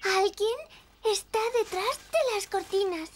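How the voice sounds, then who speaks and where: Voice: extremely high pitch, full character voice. An actor voicing a small, giggly, childlike creature for a preschool television show, babbling and exclaiming in short simple phrases. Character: small giggly childlike toddler creature, singsong and easily delighted.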